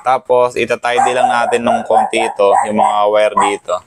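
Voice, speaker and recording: A man's voice: mid-range voice, flat delivery, normal speed, heavy background noise. A Filipino man giving a casual hands-on installation walkthrough, thinking aloud as he works. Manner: thinking aloud while working with his hands. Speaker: motorcycle gear vlogger.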